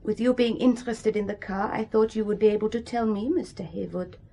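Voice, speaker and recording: A woman's voice: mid-range voice, fairly even intonation, a little fast, gravelly, warm tone. An actress playing an earnest, guarded German woman with a German accent and careful foreign phrasing in a radio thriller. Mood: suspicious. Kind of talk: radio spy thriller acting.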